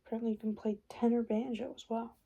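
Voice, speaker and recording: A woman speaking softly and breathily in an ASMR roleplay, often slipping into a whisper, chatty and a little hesitant. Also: fairly high pitch, little pitch movement, quick pace, gravelly, slightly rough, dull audio. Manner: hesitant, chatty, murmured reading aloud. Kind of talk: soft-spoken ASMR roleplay. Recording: noticeable background noise. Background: paper and tapping sounds.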